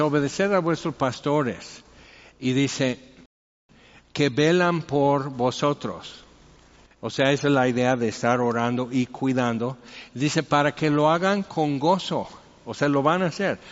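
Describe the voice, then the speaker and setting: Mexican man preaching in an echoey hall. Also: medium pitch. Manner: conversational, rambling, joking asides. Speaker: pastor preaching. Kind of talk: informal expository sermon with anecdotes. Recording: echoey hall, dull muffled sound.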